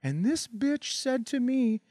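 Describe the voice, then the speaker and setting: A man co-hosting a comedy podcast, telling casual stories and acting out the dialogue in an animated way. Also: very high voice, slow pace, no echo, clean studio sound. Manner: animated, acts out quoted dialogue.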